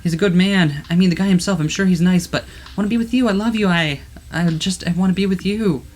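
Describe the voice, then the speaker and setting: A man's voice: a little high, expressive, fast talker, lightly acted. A man voicing a tearful, poor young woman who sells flowers, talking in a wavering, pleading voice.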